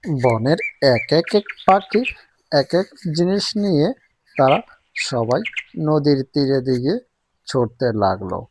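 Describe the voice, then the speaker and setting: A man's voice: mid-range voice, lively intonation, slow pace, pauses often, noisy background. A man telling a cartoon story as a scripted narrator.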